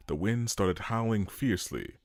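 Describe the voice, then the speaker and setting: Low-voiced male narrator, animated delivery. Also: normal speed, no echo, studio quality. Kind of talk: dramatic scripted narration.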